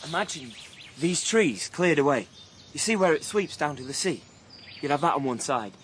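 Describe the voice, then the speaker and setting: An actor playing a cheeky working-class young dreamer in a radio drama, bantering in colloquial speech. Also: male voice, fairly high pitch, expressive, normal speed, a bit bright, slight background noise. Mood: playful, dreamy.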